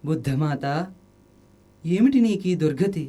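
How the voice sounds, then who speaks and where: A man's voice: on the high side, sing-song, a little slow, dark tone, slightly rough, exaggerated voice. A man playing a humble, anxious, kindly Buddhist monk in a radio drama, exclamatory and solicitous. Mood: gentle.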